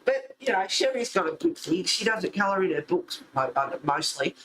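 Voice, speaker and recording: A man's voice: high-pitched, very animated, moderate pace, roomy sound, background noise. A man chatting casually and informally while colouring, rambling and thinking aloud as he goes. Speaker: host of a colouring channel.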